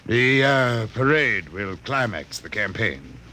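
Slow, deep, sinister mystery client. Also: male voice, expressive, bright, forceful tone, heavily acted. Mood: precise, businesslike. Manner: formal, precise, deliberate. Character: mysterious, suave, sinister male client with secretive plans. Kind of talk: classic radio drama acting. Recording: noisy vintage radio recording.

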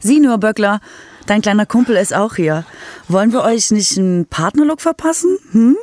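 A woman's voice: mid-range voice, lively intonation, moderate pace, a little mellow, no echo, lightly acted. A woman playing a mocking, cruel villain in a comic radio play, with a taunting manner. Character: mocking, cruel female villain posing as yoga teacher.

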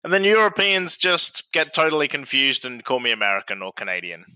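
A man's voice: mid-range voice, brisk, pushed and bright, smooth, dry recording, low-quality recording. A man with an accent mixing English and Australian, chatting easily as a guest co-host on a podcast and laughing often. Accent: mixed English and Australian. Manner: easygoing, laughs often, self-deprecating. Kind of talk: casual podcast chat.

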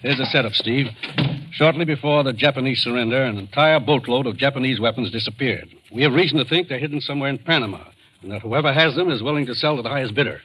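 An actor as a brisk commissioner, old radio. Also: male voice, medium pitch, close to an everyday voice. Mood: businesslike, serious. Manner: brisk, serious, commanding. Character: brisk, authoritative American agency commissioner. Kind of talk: 1940s radio drama acting. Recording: noisy old radio recording.

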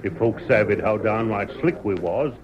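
An actor playing a sly, boastful old cowboy with a rustic drawl in an old radio western. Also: male voice, lowish voice, animated, moderate pace, crowd noise, close to an everyday voice. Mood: sly scheming. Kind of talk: 1940s radio western acting. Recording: dull vintage radio recording.

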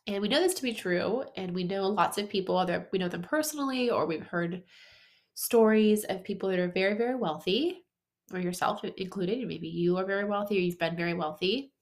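A woman hosting a solo podcast who sounds nasal and stuffed up from a head cold. She talks in a chatty, upbeat way, coaching her listeners directly with casual fillers and restarts. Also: mid-range voice. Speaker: podcast host.

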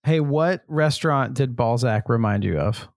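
A man co-hosting a podcast in casual chat, eager to share facts and quick to laugh. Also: mid-range voice, darkish tone. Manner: chatty, shares trivia facts, laughs often. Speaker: podcast co-host.

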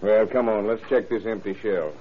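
A man playing a gruff, businesslike small-town undersheriff in an old-time radio crime drama, terse and plain-spoken. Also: fairly low pitch, fairly even intonation, moderate pace, loud music behind the voice, lightly acted. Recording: noisy, tinny old radio broadcast recording.

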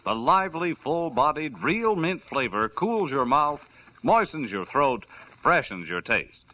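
A man's voice: on the high side, big pitch swings, measured pace. A man delivering a commercial and introducing the program with a polished announcer delivery, in 1940s radio style. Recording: dull old radio recording.

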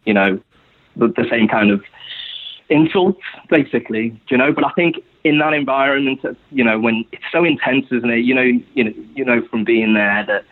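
Husky Scouse man on a tinny line. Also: medium pitch. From Liverpool, England. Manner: rambling, full of "you know" fillers. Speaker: guest supporter. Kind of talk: casual podcast conversation.

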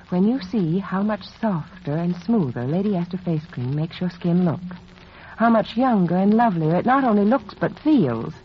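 Animated woman selling on muffled old radio. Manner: earnest, confiding sales pitch. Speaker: beauty authority, advertising spokeswoman. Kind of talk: 1940s radio commercial, scripted. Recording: dull, noisy vintage 1940s radio recording.

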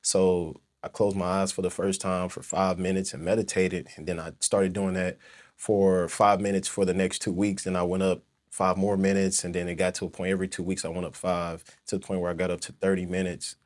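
A young man from St. Louis with a very deep voice speaks as an interview guest. He rambles easily and fills his pauses with "you know" and "like." His talk stays relaxed and conversational. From St. Louis, Missouri. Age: young adult. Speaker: guest, former football player.

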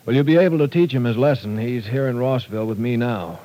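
An actor playing a calm, shrewd professor who works as a federal agent in a 1940s radio drama, speaking in a measured, firm way. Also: male voice, mid-range voice, lightly acted, low-quality recording. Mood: steady.

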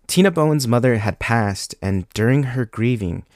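A man's voice: animated, normal speed, darkish tone, no room sound, studio quality. A young man hosting a true crime podcast, a bit sick with a cold, talking casually with ums and likes. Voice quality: deeper than usual from illness. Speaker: podcast host.

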